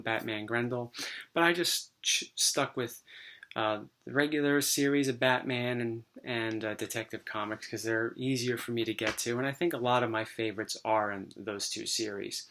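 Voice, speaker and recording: A man with a voice worn dry and hoarse by a recent cold. He talks in a loose, easygoing way, full of ums and restarts. The tone is casual show-and-tell. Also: medium pitch. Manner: relaxed, rambling, many fillers. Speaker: comic book collector vlogger.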